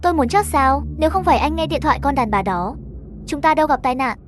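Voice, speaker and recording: A woman's voice: high voice, fast, a little hiss or hum, lightly acted. A woman voicing a bitter, frightened, accusing young mistress in a horror story.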